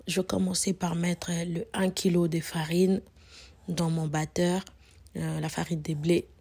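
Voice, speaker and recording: A woman hosting a home cooking tutorial, hoarse from a cold, chatty and prone to repeating herself with frequent fillers. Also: lowish voice, a little mellow. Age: adult mother. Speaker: cooking channel host. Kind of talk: casual cooking tutorial narration.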